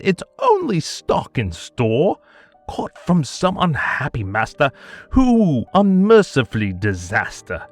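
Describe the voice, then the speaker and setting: A man voice actor giving a theatrical poetry reading, swinging between hushed murmurs and anguished cries. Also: medium pitch. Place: home studio.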